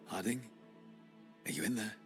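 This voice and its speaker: A male actor plays a plainspoken warden hero in a fantasy game. His low voice is informal, direct and dryly irreverent, with clear music underneath. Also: normal speed, fairly bright tone, natural voice. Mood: puzzled. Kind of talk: video game cutscene acting.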